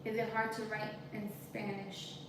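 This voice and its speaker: A woman's voice: medium pitch, monotone, unhurried. Woman in her 30s chatting while she cooks, sounds far off the mic in a really echoey kitchen w/ background noise. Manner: chatty, reads viewer comments aloud, switches into Spanish. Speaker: cooking channel host. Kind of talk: casual live-stream chat while cooking. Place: home kitchen.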